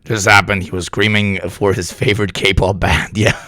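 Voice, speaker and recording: A sick, hoarse man streaming live news commentary, talking bluntly and with plenty of swearing. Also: low-pitched, little pitch movement, moderate pace, pushed and bright, no room sound, studio quality. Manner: profane, blunt, rambling. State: hoarse from illness.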